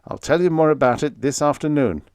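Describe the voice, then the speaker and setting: A man's voice: fairly high pitch, a little fast, darkish tone, smooth, dry recording, clean studio sound, voice pushed up, lightly acted. A man voicing a brilliant, commanding male chief spaceship designer in an audiobook, speaking with emphatic authority. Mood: confident, rousing. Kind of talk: audiobook character voice.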